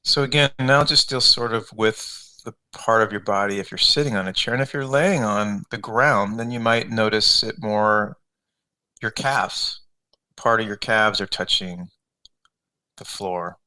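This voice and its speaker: A man leading a guided meditation as a coach, giving unscripted, conversational instructions step by step with gentle repetition. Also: on the low side, unhurried, poor audio.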